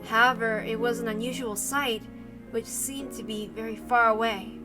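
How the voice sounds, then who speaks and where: A woman's voice: a little high, steady tone, moderate pace, music in the background. A woman reading a visual novel aloud as scripted, dramatic narration.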